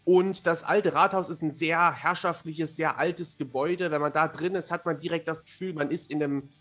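A man's voice: a little high, steady tone, moderate pace, fairly bright tone, soft background music. A man speaking as a guest in a casual podcast conversation, chatty and self-deprecating. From Germany. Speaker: interview guest, fantasy author and amateur filmmaker.

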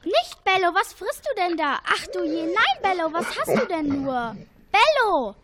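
A woman's voice: extremely high pitch, big pitch swings, measured pace, noisy background, exaggerated voice. A female performer playing a cheeky, easily exasperated girl in a German radio play. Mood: alarmed.

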